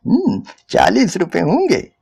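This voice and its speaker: A man's voice: sits high, huge pitch swings, measured pace, vocal fry, mellow, husky, voice pushed up, exaggerated voice. A man voicing a coercive male pimp in a dramatic story reading, harsh and cajoling, with grunted hums between commands. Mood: obsequious. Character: coercive male pimp, wheedling then threatening.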